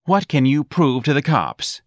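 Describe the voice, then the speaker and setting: A man's voice: medium pitch, big pitch swings, a little slow, dry recording, clean studio sound, voice pushed up. A man voicing a hard, shrewd, threatening female nightclub boss in an audiobook, scornful and commanding. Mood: challenging. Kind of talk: audiobook character voice.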